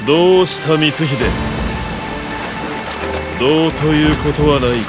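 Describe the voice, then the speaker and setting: Man as a slow, unruffled warlord. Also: a little high, loud music behind the voice, lightly acted. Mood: cool, assured. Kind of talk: Japanese video game cutscene acting.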